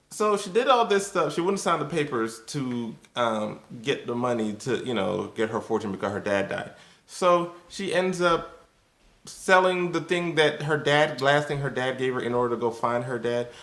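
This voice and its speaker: A man's voice: medium pitch, creaky, a bit bright. A man talking casually and a bit loosely to viewers as he reviews, in an informal conversational way. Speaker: video reviewer. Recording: roomy, echoing sound.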